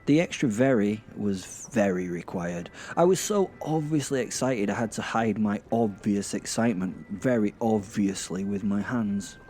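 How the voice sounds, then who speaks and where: A man's voice: on the low side, expressive, normal speed, hardly any pauses, dark tone, soft background music. A British man narrating a first-person audio drama in a bawdy, hesitant, self-interrupting way.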